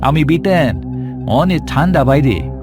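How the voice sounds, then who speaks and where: Guy playing village watchman in spooky cartoon, slow and groany, bangladeshi country accent, faint music. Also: fairly high pitch, very animated. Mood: shivering, evasive. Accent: rural Bangladeshi. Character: village watchman, male, boastful but jittery.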